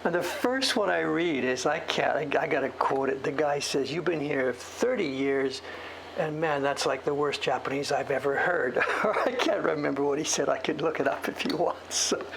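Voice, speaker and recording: Gravelly guy rambling at his workbench, laughs at himself, noisy stream audio. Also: fairly high pitch, moderate pace, fairly bright tone. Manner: rambling, self-deprecating, chuckling storyteller. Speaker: streamer and woodblock print carver. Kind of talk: casual livestream chat while carving.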